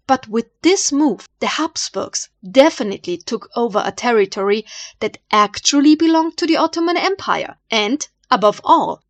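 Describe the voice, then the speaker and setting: A woman's voice: on the high side, no echo. A woman with an Austrian accent hosting a history channel, narrating a script dramatically and posing rhetorical questions.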